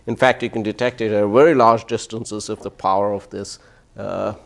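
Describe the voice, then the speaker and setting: A man speaking as a physics professor, giving a live demonstration in an explanatory way, with hesitant pauses and short check-in questions. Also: on the low side, steady tone, vocal fry.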